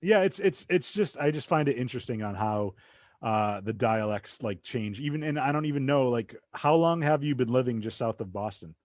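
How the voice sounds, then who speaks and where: Brief: male podcast host, mid-pitched, light Massachusetts accent, rambling casual delivery, dry close sound. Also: expressive, normal speed, darkish tone, no room sound. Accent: light Massachusetts, drops R's when fast. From southeastern Massachusetts. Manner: rambling, loose, full of asides. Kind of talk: casual interview chat.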